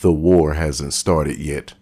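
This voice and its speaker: Casting: male actor as commanding hero leader, extremely low voice, grave and measured, dry studio sound. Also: steady tone, mellow, husky, no echo, exaggerated voice. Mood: grave, resolute. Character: resolute, measured male superhero team leader. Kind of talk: scripted superhero audio drama.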